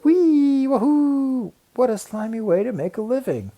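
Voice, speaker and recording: A man puts on an eccentric woman science teacher from a storybook. The voice is lifted high and swoops eagerly, full of encouragement and exclamation. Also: animated, a little slow, warm tone, heavily acted. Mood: gleeful teaching.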